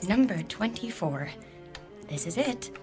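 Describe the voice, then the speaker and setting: An actress playing a cheerful, teasing, romantic wife with an American accent, expressive and coaxing in a radio drama. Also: female voice, medium pitch, a little slow, over music, natural voice. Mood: upbeat.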